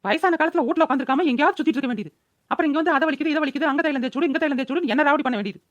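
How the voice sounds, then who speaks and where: A woman's voice: high-pitched, very fast, no echo, highs cut off, close to an everyday voice. A woman voicing a demanding, complaining older mother-in-law in a cartoon. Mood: grumbling. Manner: complaining, bossy. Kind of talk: cartoon voice-over dialogue.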